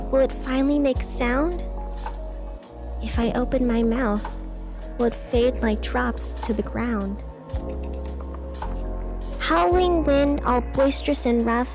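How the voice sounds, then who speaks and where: A woman playing a wistful, self-doubting night radio host in a scripted audio drama, speaking in an earnest, confiding way. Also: sits high. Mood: yearning, poetic.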